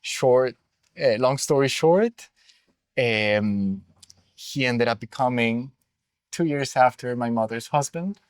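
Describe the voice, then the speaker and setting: Middle aged colombian guy, spanish accent, chatty reflective interview, normal pitch, dry close sound. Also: slow, smooth, no room sound. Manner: reflective storytelling with many fillers. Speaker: school director, interview guest. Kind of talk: conversational interview.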